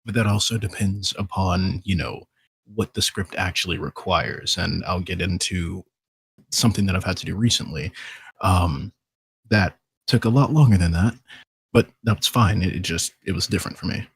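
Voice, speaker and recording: Man, very husky, gravelly fry, low pitch, casual explanatory podcast talk. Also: moderate pace, no room sound. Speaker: voice actor and podcast panelist.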